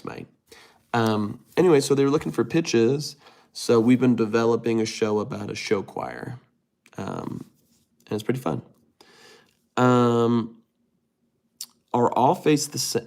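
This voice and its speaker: A man's voice: medium pitch, gravelly, darkish tone. A man hosting a casual livestream, reading viewer comments aloud and answering them in a rambling way with many restarts. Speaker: livestream host reading chat.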